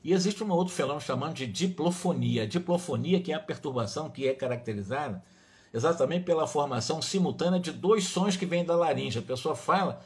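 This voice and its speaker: A man's voice: fairly high pitch, expressive, a little fast. A man with a Brazilian accent giving a religious study talk, reading passages and explaining them in a didactic, emphatic way with frequent rephrasing. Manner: didactic, emphatic, often repeats and rephrases with check tags. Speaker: spiritist lecturer.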